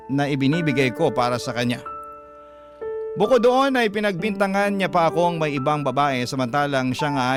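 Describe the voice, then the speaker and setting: A man narrating a personal love story in a plaintive radio-drama manner, confiding to the host. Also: fairly high pitch. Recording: narration over clear music. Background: clear background music.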